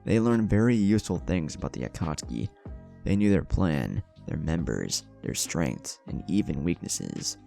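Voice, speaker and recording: A man's voice: deep voice, big pitch swings, moderate pace, creaky, mellow, faint music behind. A man narrating a scripted story in a dramatic style.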